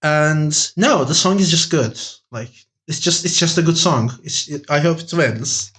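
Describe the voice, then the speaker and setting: Higher-voiced man chatting enthusiastically. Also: lively intonation, measured pace, fairly bright tone. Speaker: podcast panelist. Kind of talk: casual chat.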